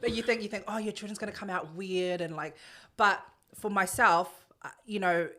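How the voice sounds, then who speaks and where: A woman's voice: mid-range voice, steady tone, normal speed, a bit bright. A woman hosting a podcast interview in a studio, chatty and enthusiastic, often laughing. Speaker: podcast host.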